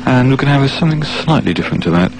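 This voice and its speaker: A man working as a radio DJ, announcing records in a measured, slightly hesitant way on a 1980s radio recording. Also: mid-range voice, lively intonation, gravelly, poor audio. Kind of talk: music radio presenting. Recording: 1980s off-air radio aircheck.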